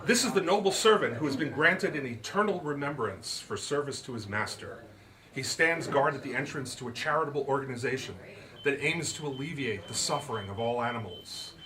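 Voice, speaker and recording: A man's voice: medium pitch, expressive, brisk, distant mic, noisy background, close to an everyday voice. A man voicing an earnest, self-assured young female detective with polite, formal, articulate speech. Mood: confident.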